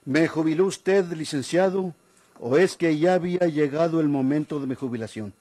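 A man's voice: on the high side, moderate pace, mellow, natural voice. An older Mexican man acting in a golden-age radio drama as a proud retired policeman, formal and deferential yet stubbornly insistent. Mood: wounded pride. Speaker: actor.

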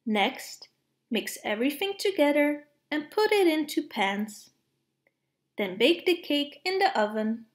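A woman reads children's storybooks aloud. Her voice is high and she goes slowly, with a deliberate, expressive delivery meant for young listeners. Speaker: children's book narrator. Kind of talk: read-aloud storybook narration.

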